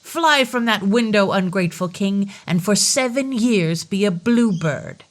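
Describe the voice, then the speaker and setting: A woman's voice: medium pitch, expressive, a little slow, lightly acted. A woman voicing an imperious scolding fairy. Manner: commanding, scolding. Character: imperious angry fairy godmother.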